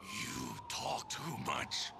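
An actor playing a proud, stern old crime boss in a video game, terse, formal and irritable. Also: male voice, a little high, wildly swooping pitch, extremely slow, vocal fry, full character voice. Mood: irritated. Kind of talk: video game cutscene acting. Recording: loud ambient and vehicle noise.

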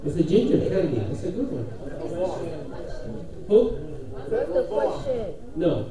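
A middle-aged man with a Spanish accent preaching in halting, simple English, pausing for an interpreter and putting questions to the congregation. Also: on the high side, sing-song, over a noisy crowd. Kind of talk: interactive sermon with pauses for an interpreter. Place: church hall with congregation, handheld microphone through speakers. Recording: echoey room, distant camera pickup, congregation noise.

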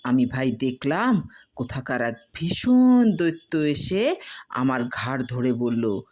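A woman's voice: very deep voice, very animated, slow, darkish tone, exaggerated voice. A woman voicing a crafty male traveller in a storybook, plaintive with feigned innocence. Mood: mock-sorrowful.

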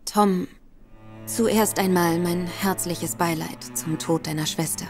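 A woman's voice: lowish voice, steady tone, takes it slowly, music in the background. A woman playing a poised, persuasive woman detective in a radio drama. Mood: gentle. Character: sharp, determined woman investigator.

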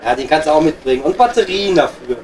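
A man's voice: a little high, expressive, fast, darkish tone, a faint crowd, close to an everyday voice. Distant, cheeky Ruhr coal miner, played by a man. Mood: flirty. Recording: distant from the microphone. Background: music.

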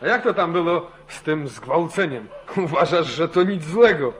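Stern landowner, higher-pitched man. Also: expressive, moderate pace, a bit bright, slight background noise. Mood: suspicious, accusing. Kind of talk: 1940s Polish radio drama acting.

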